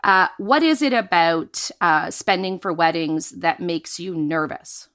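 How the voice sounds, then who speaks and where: A woman co-hosts a show in a bright, crisp voice. She keeps the talk upbeat and conversational, leading the discussion with easy energy. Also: fairly low pitch, unhurried. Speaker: podcast co-host. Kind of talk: conversational co-hosting.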